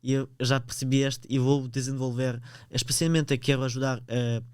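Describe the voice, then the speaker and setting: Teen guest, Ukrainian accent; smooth, resonant voice, dry close sound, halting delivery with many fillers. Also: male voice, medium pitch, level tone, darkish tone, no room sound. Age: teens. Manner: hesitant, searching for words, frequent fillers. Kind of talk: podcast interview.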